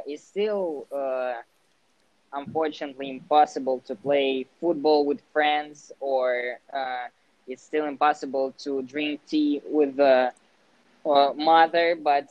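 A teenage man with a Russian accent, a student living abroad, speaking English hesitantly with many pauses and fillers. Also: on the high side, a little mellow, no room sound, lo-fi sound. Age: teens. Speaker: interviewee, exchange student. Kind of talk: casual conversation.

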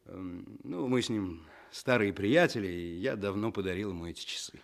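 An actor playing an amiable, earnest writer who was once a young detective, in a Soviet radio play. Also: male voice, lowish voice, animated, moderate pace, vocal fry. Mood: warm reminiscing.

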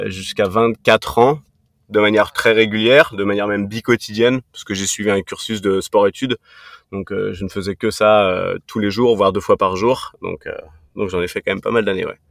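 A man's voice: on the low side, steady tone, moderate pace, vocal fry, no room sound. A man in his thirties from Brittany, a fitness coach answering interview questions casually, often hesitating with fillers and restarts. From Brittany, France. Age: 30s. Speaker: podcast guest, fitness coach.

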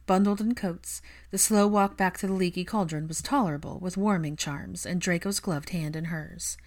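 Female audiobook narrator for fan fiction, slightly low in pitch, scripted prose reading. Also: moderate pace, darkish tone.

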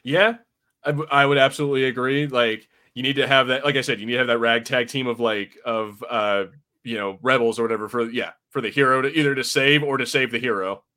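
A man chatting informally as a podcast host, jokey and loose, with halting restarts as he talks. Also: medium pitch, fairly even intonation, bright, forceful tone. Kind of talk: casual chat.

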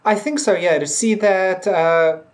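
A woman's voice: lowish voice, measured pace. A woman co-hosting a casual discussion, speaking tentatively as she recalls details aloud. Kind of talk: casual chat.

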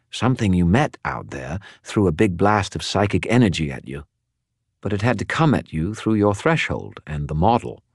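A man's voice: low-pitched, slightly rough, no echo, studio quality, voice pushed up, exaggerated voice. A man voicing a chatty, breezy male spirit living in a skull.

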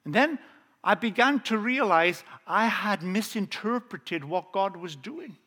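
Welsh male preacher, high voice, earnest testimony delivery, lingers on key lines. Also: lively intonation, sharp tone. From South Wales. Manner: earnest storytelling, slowing down on key phrases. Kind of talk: testimony sermon.

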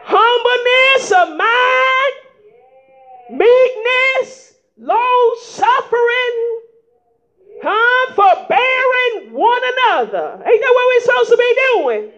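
A woman preacher with a strikingly high voice delivers a sermon in plain folksy speech. She takes her time and keeps prodding the congregation with calls of "huh?" as she rises into shouts. The recording is dull and lo-fi. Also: expressive, takes it slowly. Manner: emphatic call-and-response preaching with frequent "huh?" prompts; folksy vernacular, rising to shouts.

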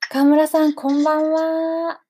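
A woman's voice: high-pitched, level tone, measured pace, dark tone. A woman hosting a chatty local radio interview, speaking politely and keenly and showing appreciation for her guest.

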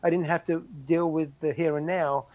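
An older man, a former soccer player recalling his college years in a halting, reflective interview. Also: on the high side, little pitch movement, darkish tone, no room sound. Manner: halting, many restarts and "you know".

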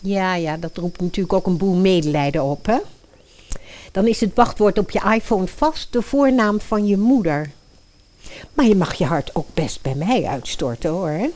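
A woman's voice: lowish voice, moderate pace, a little mellow, close to an everyday voice. A woman playing a sweet-talking, prying stepmother in a Dutch fairy-tale radio play, chatty and coaxing. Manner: chatty, coaxing, ends on tag questions.

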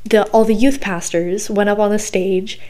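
A young woman with a hoarse, worn-out voice, hosting a podcast in a rambling, chatty way full of fillers. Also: mid-range voice, steady tone, moderate pace, warm tone. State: hoarse, recovering from losing her voice. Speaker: podcast host. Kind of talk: casual solo podcast chat.

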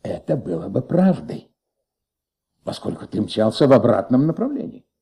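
Radio drama, shrewd, paternal police inspector under the weather with flu: husky, very soft-edged timbre, gently probing. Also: male voice, medium pitch, sing-song, normal speed, full character voice. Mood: earnest, explaining. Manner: gentle, coaxing, paternal questioning. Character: patient, shrewd middle-aged male police commissaire, sick with flu. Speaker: radio drama actor playing a police commissaire. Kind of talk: Soviet radio play acting.

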